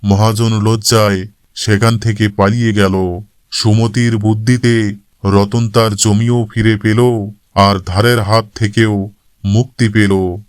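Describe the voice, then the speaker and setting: A man's voice: lowish voice, level tone, slow, smooth, dry recording, studio quality. A man reading scripted narration for a cartoon moral story as the storyteller.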